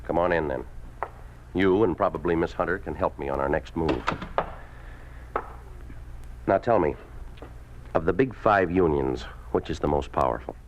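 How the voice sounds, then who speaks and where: An actor in a 1950s radio drama playing a smooth, domineering labor official who talks in a curt, commanding, persuasive way. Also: male voice, very deep voice, moderate pace, long pauses. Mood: brisk, commanding. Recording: muffled, noisy 1950s radio recording.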